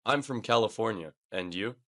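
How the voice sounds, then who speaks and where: A man's voice: lowish voice, lively intonation, moderate pace, fairly bright tone. A man voicing a polite office newcomer in a scripted English lesson dialogue. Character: polite male office newcomer, pleased with new job.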